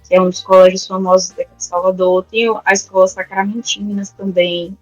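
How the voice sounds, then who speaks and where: A woman's voice: medium pitch, little pitch movement, fairly quick, no echo, faint music behind, low-quality recording. A woman guest on a podcast who teaches and does research, explaining casually with many fillers and restarts. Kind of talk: casual conversational interview. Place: resident of a neighborhood in Salvador, Brazil.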